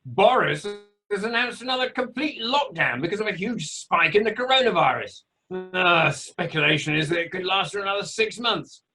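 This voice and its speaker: A man's voice: high voice, lively intonation, normal speed, bright, forceful tone. A man playing an urgent, tense club member delivering news in an amateur radio play.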